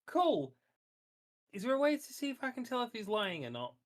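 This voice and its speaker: A man's voice: very high-pitched, huge pitch swings, normal speed. A man talking bluntly and insistently as a player in a tabletop roleplaying game.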